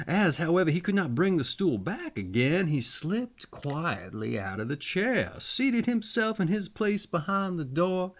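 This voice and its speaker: A man reading fairy tales expressively as a storyteller, with folksy, theatrical phrasing and casual asides. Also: medium pitch.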